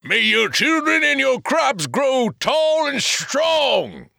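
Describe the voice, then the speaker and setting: Burly boatman, slow and booming, put on by a man, dry close sound. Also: big pitch swings, creaky, pushed and bright, no echo, full character voice. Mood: hearty.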